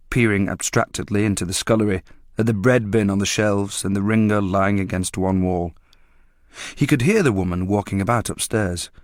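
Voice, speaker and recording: Low-voiced man narrating a literary story. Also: no echo, studio quality.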